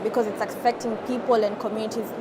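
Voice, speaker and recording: Young woman from Kenya, twenties, earnest, flat steady delivery, loud traffic and crowd noise behind. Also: a little high. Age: 20s. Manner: earnest, measured, with frequent um fillers. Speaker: interview guest, climate activist. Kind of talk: conversational interview answers. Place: studio at an outdoor event.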